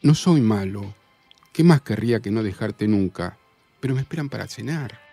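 A man's voice: fairly low pitch, animated, moderate pace, vocal fry, warm tone, faint music behind. A man with an Argentine accent playing a polite, earnest, smitten dinner guest in a radio play. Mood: torn, earnest.

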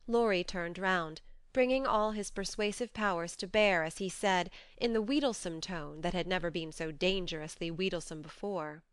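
A woman reading a novel aloud as an audiobook narrator, in expressive scripted storytelling. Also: on the low side, moderate pace, clear, resonant tone, no room sound.

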